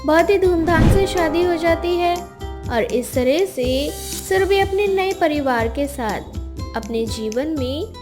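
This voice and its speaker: A woman's voice: very high-pitched, lively intonation, takes it slowly. A woman narrating a scripted moral story. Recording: music behind the voice.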